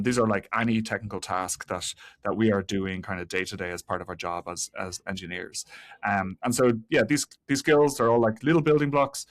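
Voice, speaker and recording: A man being interviewed on a podcast as an engineer, explaining technical work in a halting way with repeated words and many hedges. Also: lowish voice, a bit bright. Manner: halting, hedging with frequent 'like' and 'kinda', repeated words. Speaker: guest, senior software engineer.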